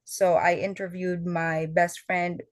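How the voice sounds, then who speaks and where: Young woman, low voice, Tagalog native. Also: level tone, slow, no room sound. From Filipino family. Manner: earnest, explains step by step with fillers. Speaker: oral history fellow. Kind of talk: conversational interview answers.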